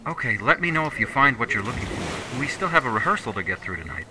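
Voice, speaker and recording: A man's voice: medium pitch, very animated, fast talker, background noise, close to an everyday voice. A man playing a helpful, matter-of-fact character giving reports in an audio drama, informal and obliging. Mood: friendly.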